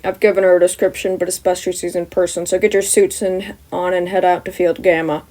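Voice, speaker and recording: A woman's voice: lowish voice, flat delivery, fairly quick, mellow, close to an everyday voice. A woman voicing a sarcastic, tired male teacher who speaks curtly. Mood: dry. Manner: dry and curt.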